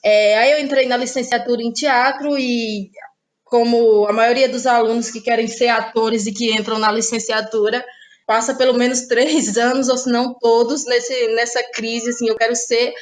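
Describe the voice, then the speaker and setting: Cearense woman in her 30s, kinda high voice, talks slow and thoughtful with lots of "né". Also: level tone. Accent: Brazilian Portuguese, Cearense. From Ceará, Brazil. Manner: thoughtful, hesitant, many fillers like "né". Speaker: guest, playwright and actress. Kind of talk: interview answers.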